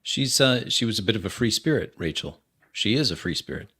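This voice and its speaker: A man's voice: lowish voice, expressive, a little fast, a bit bright, breathy edge. A man voicing a polite, rational academic investigator in a tabletop game, formal, concerned and hesitant. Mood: inquiring.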